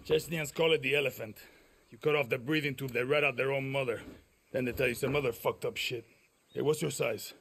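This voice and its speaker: An actor playing a gruff, cynical old guerrilla spymaster with a gravelly voice in dramatic video game dialogue. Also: male voice, mid-range voice, fairly even intonation, a little fast. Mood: darkly casual. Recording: loud background vehicle and outdoor noise.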